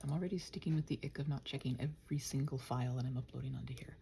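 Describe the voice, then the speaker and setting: Woman, playful and scattered ASMR creator, mellow with plenty of vocal fry, rambling casually and muttering while she works. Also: rumbling low, steady tone, very fast, a little hiss or hum. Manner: playful, chatty, talks to her computer. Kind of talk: casual unscripted body-doubling ramble.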